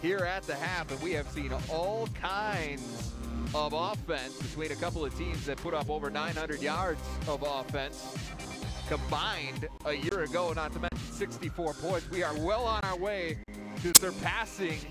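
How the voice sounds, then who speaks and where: A man's voice: fairly high pitch, measured pace. Upbeat football announcer man. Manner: upbeat, enthusiastic. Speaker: football broadcaster. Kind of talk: halftime play-by-play summary. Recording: loud music under voice.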